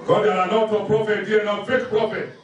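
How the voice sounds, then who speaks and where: A very echoey, distant recording of a man preaching. His voice is pitched extremely high, and he drives each point home with emphatic repetition, like a pastor instructing a congregation. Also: level tone, brisk. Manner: emphatic, repetitive, numbered exhortations with scripture quotes. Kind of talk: sermon. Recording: very echoey room, distant microphone.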